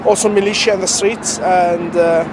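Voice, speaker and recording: Halting young man, high voice. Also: fairly even intonation. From Syria. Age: young adult. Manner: hesitant, many pauses and fillers. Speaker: refugee interviewee. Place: outdoors at a city rally. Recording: outdoor street noise, traffic.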